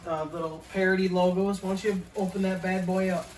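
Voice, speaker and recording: A man in his early forties chatting casually as a show co-host, his voice sitting a little high. He sounds set back from the mic in an echoey room with a faint hum of ambience. His talk is laid-back and peppered with swearing. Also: flat delivery, a little fast, distant mic. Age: 40s. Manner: profane, laid-back banter. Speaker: podcast co-host. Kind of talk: casual chat.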